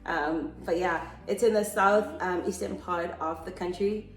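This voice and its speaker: A chatty South African woman and mother, hosting a casual family Q&A and pausing often with ums. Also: fairly low pitch, fairly even intonation, echoey room, soft background music, poor audio. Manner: chatty and hesitant, with frequent ums.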